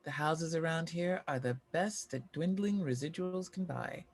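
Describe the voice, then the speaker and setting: A woman acting a jaded, hard-drinking former child star in a screenplay table read, with a husky voice and a dry, world-weary delivery. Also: low voice, measured pace, full character voice. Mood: wry, inviting.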